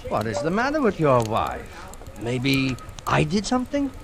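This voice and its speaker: An actor playing a kindly older café owner, hesitant and courteous, in 1940s radio-drama style. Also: male voice, mid-range voice, huge pitch swings, a little slow, a little hiss or hum. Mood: puzzled, hurt. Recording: old radio broadcast recording.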